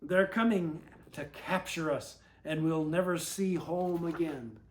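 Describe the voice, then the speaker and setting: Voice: medium pitch, measured pace, a little hiss or hum, close to an everyday voice. A storyteller voicing frightened, stranded children from a picture book, exclaiming and pleading. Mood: alarmed.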